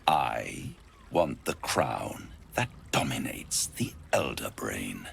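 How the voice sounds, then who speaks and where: An actor playing a suave, scheming devil in a video game, speaking in a silky, grandiose, theatrical manner. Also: male voice, a bass voice, very animated, takes it slowly, creaky, a bit bright, a little hiss or hum, full character voice. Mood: gloating, sly. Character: suave, scheming male devil offering bargains. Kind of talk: video game cutscene acting.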